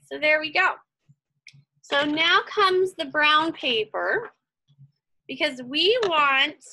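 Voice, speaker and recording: A woman with a high voice that swings widely in pitch and often drops into creak. She talks like a casual craft instructor walking learners through each step. Her delivery is chatty and encouraging, thinking out loud as she goes. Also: slight background noise, rough recording. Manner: chatty, encouraging, thinks aloud while working.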